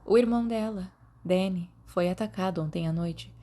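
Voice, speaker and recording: A woman with a Brazilian Portuguese accent voicing a caring, brisk young witch, worried but taking charge. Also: fairly low pitch, dark tone, natural voice. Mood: anxious, brisk. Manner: worried, then firm and take-charge.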